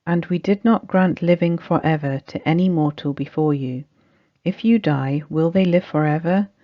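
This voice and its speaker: A woman's voice: low voice, normal speed. Woman reading scripted study, dull recording. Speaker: reader of a religious study text. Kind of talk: scripted narration of Quran study, reading aloud.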